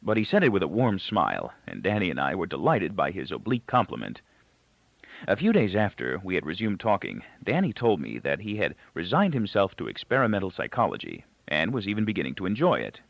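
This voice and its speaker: A dull, dry, close recording of a man narrating a novel. His voice sits low and has a gravelly creak, and his intonation swings very widely as he tells the story. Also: huge pitch swings, a little fast, dry recording. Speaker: audiobook reader. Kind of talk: scripted literary narration with character dialogue.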